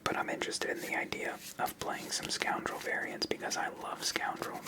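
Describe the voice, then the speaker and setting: A person whispering ASMR-style commentary as the host of a card game channel, in a soft, unvoiced manner. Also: normal speed.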